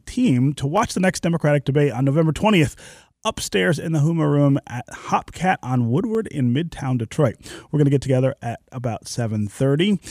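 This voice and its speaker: A man hosting a public radio talk show, his voice hoarse and stuffy from a cold, speaking in a measured, conversational way. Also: medium pitch, a little mellow, dry recording, clean studio sound. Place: radio studio. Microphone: studio microphone.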